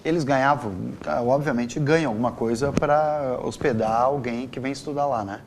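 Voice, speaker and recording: A man's voice: medium pitch, moderate pace, background noise. A man with a southern Brazilian accent hosting a casual interview, inquisitive and prompting his guest with follow-up questions. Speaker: interviewer, host.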